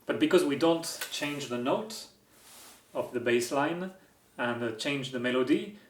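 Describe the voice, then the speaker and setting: A man's voice: mid-range voice, a little slow, reverberant. A man with a French accent, hosting a music-making vlog and explaining his work with wry, self-deprecating humor. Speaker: musician and video host. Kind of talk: casual explanatory vlog narration.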